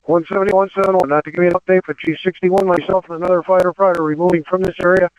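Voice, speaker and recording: A man's voice: high-pitched, steady tone, rapid-fire, clean tone, no echo, low-quality recording. A man calling in as a firefighter over a two-way radio. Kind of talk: radio dispatch traffic.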